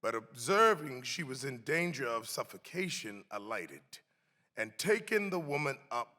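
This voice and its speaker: A man giving a solemn, emphatic dramatic reading of a historical text in a deliberate, theatrical way. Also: on the high side, creaky, a bit bright. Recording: echoey hall with long reverb.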